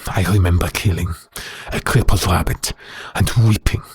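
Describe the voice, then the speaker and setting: An actor playing a bitter, haunted werewolf prisoner in an audio drama, speaking in a slow, brooding, halting way with a rough voice. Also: male voice, low voice, fairly even intonation, no room sound. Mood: haunted sorrow. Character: bitter haunted male werewolf prisoner recounting his past.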